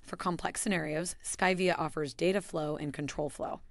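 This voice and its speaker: A woman giving a scripted, even voice-over that walks through software steps. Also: deep voice, moderate pace, gravelly, no room sound, studio quality. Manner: even, instructional delivery. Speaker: software tutorial narrator.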